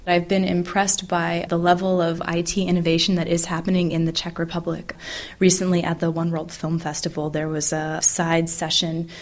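A woman manager answering interview questions in a relaxed, conversational way with some fillers. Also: on the low side, moderate pace. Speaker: media manager.

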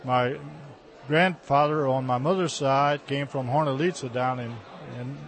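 A man with a drawl answers questions in a halting, homespun way. He stops, restarts and repeats words as he goes. His pitch sits in a middle range for a man. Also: steady tone. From Texas, USA. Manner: halting, repeats words, plain folksy talk. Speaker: cultural center director. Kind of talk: interview answers.

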